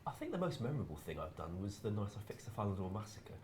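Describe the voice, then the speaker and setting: A man's voice: on the low side, sing-song, very fast, a little mellow, slight background noise. A man telling a work anecdote casually as a game developer, in a dry, understated, precise way. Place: live stream room in an office.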